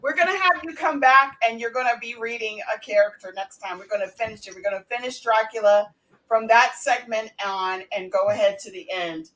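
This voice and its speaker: High-pitched woman hosting a group podcast. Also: fairly quick, fairly bright tone, rough recording. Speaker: podcast host directing a table read. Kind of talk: casual group chat.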